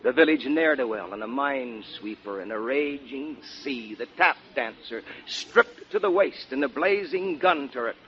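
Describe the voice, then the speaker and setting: American orator on muffled old radio. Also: male voice, mid-range voice, expressive, a little hiss or hum. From United States, Kansas. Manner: declamatory, deliberate phrasing. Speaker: guest speaker. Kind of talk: 1940s patriotic oratory. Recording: dull 1940s radio recording.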